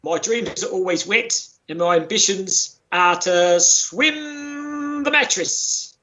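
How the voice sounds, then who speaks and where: A man playing along as a quiz guest with deadpan jokes. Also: high voice, takes it slowly.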